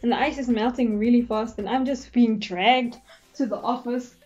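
A woman's voice: on the high side, fairly even intonation, normal speed, a little mellow, muffled recording. A young woman vlogger with a congested, fading voice, telling a chatty, giggly story in her bedroom while her makeup is done. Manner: chatty, giggly, acts out quotes. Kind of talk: casual storytelling vlog. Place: bedroom, indoors.

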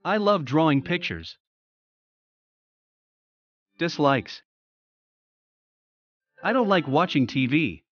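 A narrator reading scripted lesson headings and example sentences in a clear, measured way. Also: medium pitch, big pitch swings, no room sound. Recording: dry, slightly dull lo-fi.

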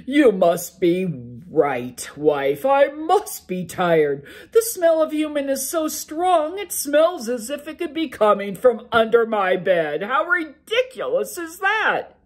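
Storybook ogre, male, cranky and drowsy, a woman doing the voice, breathy edge. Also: high voice, sing-song, slow, few pauses, voice pushed up, heavily acted. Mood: grumbling. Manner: loud, grumbling, yawning.

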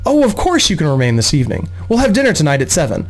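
A man voicing a gracious, composed noblewoman mother with a measured, genteel manner in a fantasy audiobook. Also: fairly high pitch, huge pitch swings, heavily acted.

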